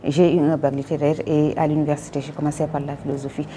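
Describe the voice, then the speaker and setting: A Senegalese woman being interviewed as a guest, speaking French in a measured, formal way with frequent hesitations; her first language is Wolof. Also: deep voice, level tone, mellow, slight background noise. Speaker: guest, publisher and Wolof teacher. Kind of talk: interview answers.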